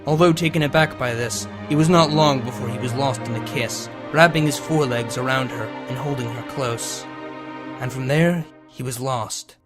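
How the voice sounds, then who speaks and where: A man's voice: mid-range voice, moderate pace. A man who reads fan fiction aloud and hosts his own channel. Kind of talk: dramatic scripted story narration, then casual outro. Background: music.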